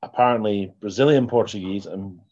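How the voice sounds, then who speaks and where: A man chatting casually in a hesitant, halting way. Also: fairly low pitch, a little mellow. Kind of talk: casual chat. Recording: dull, muffled lo-fi sound.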